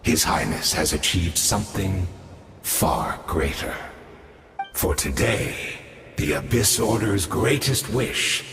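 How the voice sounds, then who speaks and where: A man's voice: expressive, slow, a bit bright, full character voice. A man voicing a grandiose, menacing villain of the abyss in a fantasy game, pompous, condescending and theatrical. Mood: grand, gloating. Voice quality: deep, gravelly. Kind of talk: fantasy video game voice acting. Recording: echoey, long reverb, music behind.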